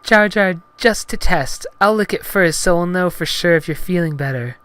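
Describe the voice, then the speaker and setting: A narrator voicing a kind, flustered, shy young woman in a gentle, earnest manner for a parody story. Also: medium pitch, moderate pace, clean tone, pitched above the performer's own voice, close to an everyday voice. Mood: coy.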